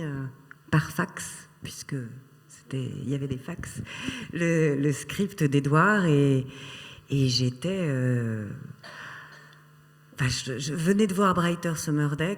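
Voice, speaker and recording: A woman on a festival panel, telling her own memories in a halting, reflective way with light laughs. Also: sits low, darkish tone. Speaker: actress guest on a panel. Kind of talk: panel discussion, personal recollection. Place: festival screening hall. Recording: long hall reverb.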